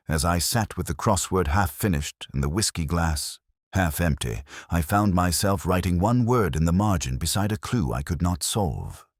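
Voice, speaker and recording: A man plays a melancholy police inspector telling his own mystery story. The voice is extremely low and husky, with lively shifts of pitch. The delivery is measured, literary and brooding, as in a radio detective drama. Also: no room sound, clean studio sound, heavily acted. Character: weary, melancholy male English police inspector narrating his case. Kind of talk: scripted first-person mystery narration.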